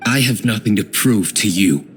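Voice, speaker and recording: A man's voice: fairly low pitch, normal speed, a little hiss or hum, lightly acted. Man playing a curt, brooding young nobleman. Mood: dry disdain. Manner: curt, dry, formal, disdainful. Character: brooding, aloof young nobleman vigilante posing as bartender. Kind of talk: video game voice acting.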